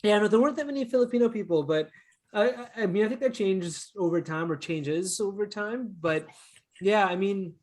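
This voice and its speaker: A man with a Canadian accent, chatting casually and telling stories as an interview guest, easygoing and quick to chuckle. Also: high-pitched. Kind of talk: casual conversational storytelling.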